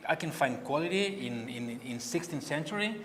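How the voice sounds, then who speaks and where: A man from Barcelona speaking English with a Spanish accent, a painter giving a halting gallery talk who repeats words as he searches for them. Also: on the high side, expressive, a bit bright, a little hiss or hum. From Spain. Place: gallery hall. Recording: long hall reverb, faint ambience.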